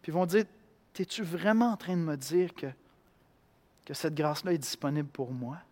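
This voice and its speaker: A man from Quebec with a Québécois accent, preaching a sermon in an animated way, acting out voices and swinging between loud and hushed. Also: a little high, moderate pace, in a large hall. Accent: Québécois French. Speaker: pastor.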